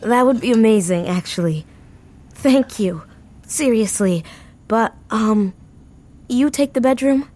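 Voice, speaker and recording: An actress playing a traumatized, anxious young woman grieving her murdered family in an audio drama, halting and emotional, with an American accent. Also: fairly high pitch, darkish tone. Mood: subdued. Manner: halting, emotional, faltering delivery. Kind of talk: naturalistic audio drama acting.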